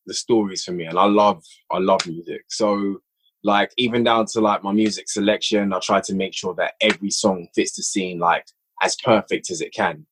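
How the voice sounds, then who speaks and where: A man's voice: sits low, fairly even intonation, moderate pace, fairly bright tone, a little hiss or hum. A man chatting casually as a podcast guest, reflective and repeating phrases for emphasis as he explains his creative work. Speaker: podcast guest, audio drama writer and director. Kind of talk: casual interview chat.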